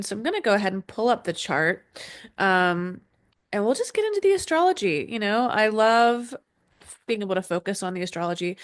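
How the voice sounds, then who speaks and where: Woman host, a bit stuffy with a cold, rambling casual delivery full of ums. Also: medium pitch. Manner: loose and rambling, many ums and restarts. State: slightly congested, sniffly from a cold. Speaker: astrology podcast host. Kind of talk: casual solo podcast talk. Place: indoor room with dogs. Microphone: desk setup with camera and screen share.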